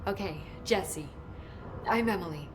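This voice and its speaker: An actress playing an eager, talkative scientist's assistant in a video game, earnest and wordy with halting phrases. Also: female voice, music in the background, lightly acted. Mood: earnest, flustered. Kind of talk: video game cutscene dialogue. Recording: faint rumbling noise behind.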